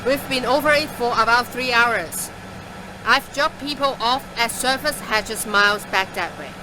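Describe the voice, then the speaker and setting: A woman's voice: fairly high pitch, measured pace, noisy background, natural voice. A woman playing a seasoned, superstitious transport driver in an audio drama, matter-of-fact and assured. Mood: wry, assured. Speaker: voice actor in a fiction podcast.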